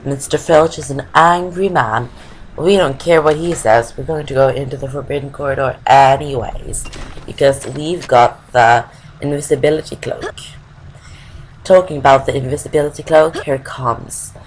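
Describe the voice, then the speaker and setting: A woman's voice: a bass voice, normal speed, slight background noise, rough recording. A woman giving casual let's play commentary, chatty and explaining each step with plenty of fillers.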